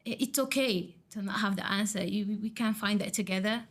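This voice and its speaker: A woman whose first language is Arabic, a student teacher answering podcast questions haltingly. She often restarts and searches for words. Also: medium pitch.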